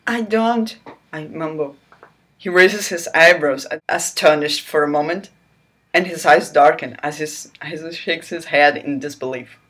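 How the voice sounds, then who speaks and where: A woman's voice: sits low, a little slow, fairly bright tone. A woman hosting her channel, reading a romance novel aloud in an over-the-top theatrical way and breaking off for sarcastic jokes. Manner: theatrical, breaks off to joke and squeal. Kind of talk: mock-dramatic reading with sarcastic asides.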